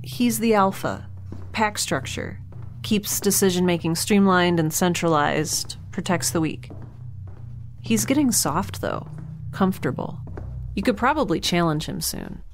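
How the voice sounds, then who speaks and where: A woman's voice: fairly low pitch, animated, unhurried, faint music behind. A woman playing a dry, sarcastic young scientist. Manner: dry, sarcastic, quietly blunt. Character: sardonic, blunt young woman scientist held captive.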